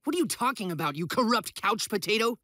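A man's voice: sits high, expressive, quick pace, pushed and bright, dry recording. A man voicing a cocky, dramatic young male superhero in a cartoon, brash and sarcastic, with music underneath. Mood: accusing. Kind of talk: animated cartoon acting.

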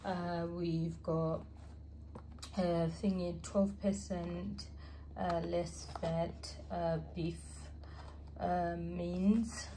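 A woman, a mother, chatting casually to camera about her groceries, unhurried and full of ums and uhs. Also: fairly low pitch, little pitch movement, warm tone, a little hiss or hum, rough recording. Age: adult mother. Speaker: vlogger showing a grocery haul. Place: home, at a table with groceries.

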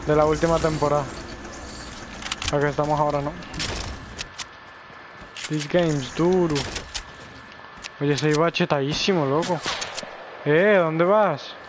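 A man's voice: a little high, steady tone, measured pace, long pauses. A man from El Salvador streaming a shooter game live, chatting with his teammate and viewers and repeating a half-English catchphrase. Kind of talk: live gameplay chat. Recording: noisy game audio behind.

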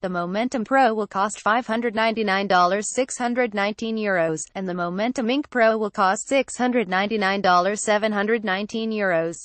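A woman's voice: mid-range voice, normal speed, few pauses, no room sound. Woman reading scripted product news, dry sound. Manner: reads written article word for word, spelling out abbreviations. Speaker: narrator.

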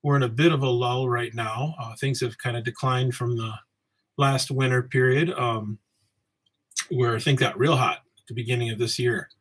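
Samoa-born man in his forties, solo podcast host, husky mid-pitched voice, loose rambling delivery at a home desk webcam. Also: normal speed, poor audio. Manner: relaxed, rambling, many ums and uhs. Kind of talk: casual solo podcast talk. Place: home office with bookshelves. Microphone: webcam framing, seated at desk.

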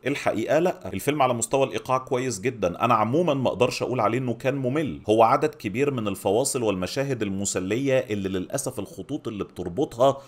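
A man's voice: medium pitch, racing, few pauses. A middle-aged man with an Egyptian accent giving an opinionated film review to camera, speaking close to a microphone in a small home studio. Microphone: microphone on a boom arm, close up.

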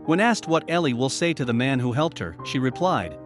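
A man's voice: medium pitch, big pitch swings, brisk. A man reading scripted story narration with a dramatic storytelling delivery. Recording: voice-over with music bed. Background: music.

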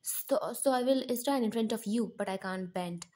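A woman's voice: fairly high pitch, normal speed, mellow, close to an everyday voice. A woman voicing a bold young wife who is sassy and fierce. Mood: coy. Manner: sassy, fiery.

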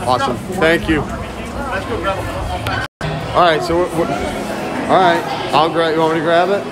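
A man talking loudly and casually to viewers as he live-streams while walking around. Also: fairly high pitch, normal speed, over loud music. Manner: loud, chatty, talks to viewers and chat. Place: outdoors at a busy shopping area, later indoors. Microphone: phone held while walking. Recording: phone audio with crowd noise. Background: crowd chatter.

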